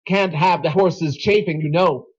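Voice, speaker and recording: A man playing a flustered, bossy older cowboy in a children's audio story, with a raspy voice. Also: on the high side, measured pace, muffled recording.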